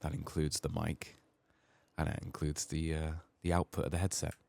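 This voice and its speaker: A man with a sick, strained voice murmuring soft-spoken ASMR gaming commentary, sometimes near a whisper, thinking aloud close to the mic. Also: level tone, normal speed, dry recording. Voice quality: husky, gravelly, very low. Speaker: game streamer making a playthrough.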